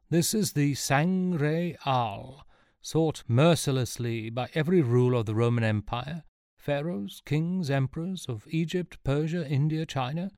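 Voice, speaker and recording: A man's voice: medium pitch, darkish tone, no room sound, studio quality, pitched above the performer's own voice, close to an everyday voice. A man voicing an elderly eccentric professor, once an adventurer, speaking in a theatrical, old-fashioned way. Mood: grandiose.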